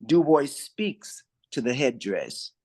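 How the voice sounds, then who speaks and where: A man's voice: mid-range voice, very animated, takes it slowly, darkish tone. Man reading stage directions.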